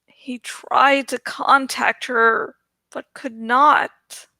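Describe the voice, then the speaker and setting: A woman narrates a story from a script. Her voice is bright and a little high, and she reads very slowly and expressively, placing each phrase with care. The sound is dry and close. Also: fairly even intonation, no room sound. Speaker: audiobook reader.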